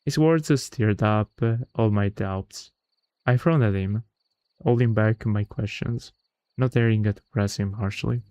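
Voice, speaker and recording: Halting, low-voiced man reading on a livestream. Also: dark tone, no echo. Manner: halting reading, stumbles over words and corrects himself. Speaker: livestreamer reading a visual novel aloud. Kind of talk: casual live read-aloud with chatty asides.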